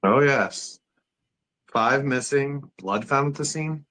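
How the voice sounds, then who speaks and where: Man playing a calm, genial older doctor. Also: medium pitch, slow pace, rough recording. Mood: measured. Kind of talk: amateur script table read.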